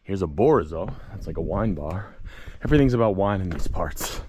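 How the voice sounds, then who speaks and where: A man with a low-pitched voice hosts a casual walking vlog. He speaks like a playful, theatrical storyteller who leans on dramatic pauses. The recording is made outdoors, with some light noise around the voice. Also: animated. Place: outdoors, walking in a hilly lakeside village and vineyards.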